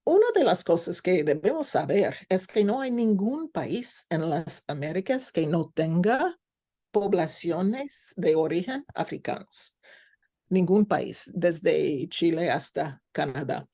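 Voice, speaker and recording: A woman's voice: lowish voice, expressive, mellow. A woman from the United States, a native English speaker, gives an informal academic lecture in Spanish with an American accent, searching for words and posing emphatic questions to her listeners. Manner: halting, searches for words in second-language Spanish. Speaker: guest lecturer, scholar with a doctorate.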